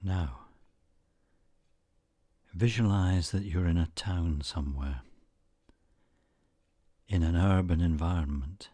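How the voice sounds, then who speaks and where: A man narrating a scripted guided meditation in a soothing, measured manner, leaving frequent pauses between short phrases. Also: deep voice, warm tone, slightly rough, no room sound.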